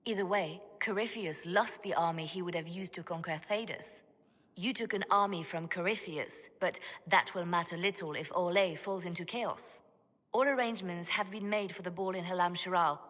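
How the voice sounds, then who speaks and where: An actress playing a composed, secretive spymaster advisor in a video game, measured and serious, with long hall reverb. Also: female voice, lowish voice, fairly bright tone.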